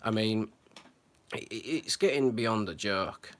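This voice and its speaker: A man's voice: lowish voice, slow pace. A man hosting his own channel, giving unscripted, earnest commentary full of fillers, restarts and hesitations. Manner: earnest, concerned. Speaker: channel host.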